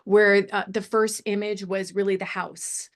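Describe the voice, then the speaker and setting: A middle-aged woman giving casual, animated and encouraging feedback on a screenplay, often wandering off her point. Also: mid-range voice, a little slow. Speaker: script feedback panellist.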